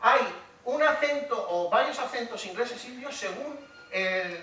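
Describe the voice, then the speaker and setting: Ironic, hesitant lecturer in an echoey hall. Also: male voice, very high-pitched, expressive, measured pace, bright, forceful tone. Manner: ironic and conversational, with many hesitations and restarts. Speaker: university linguistics professor giving a lecture. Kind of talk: public lecture. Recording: roomy echo from a distant mic with faint noise.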